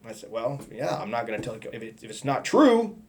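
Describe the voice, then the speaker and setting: A middle-aged man giving a personal testimony, talking in a halting, rambling way with many restarts and fillers. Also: mid-range voice, very animated, slight background noise. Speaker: testimony speaker.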